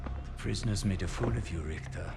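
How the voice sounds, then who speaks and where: An actor playing a contemptuous, angry Nazi superior officer in a war video game, threatening and imperious. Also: male voice, sits low, fairly quick, vocal fry. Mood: cold anger. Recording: loud music underneath.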